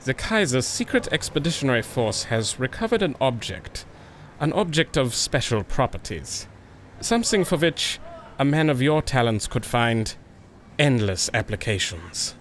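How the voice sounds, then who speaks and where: Smooth pilot aristocrat, flattering, formal, very expressive, adventure radio drama, bit of background hum. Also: male voice, mid-range voice, moderate pace. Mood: admiring, scheming. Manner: smooth-talking, grandiose, formal. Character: suave, flattering German flying ace with hidden designs. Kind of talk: pulp audio drama acting.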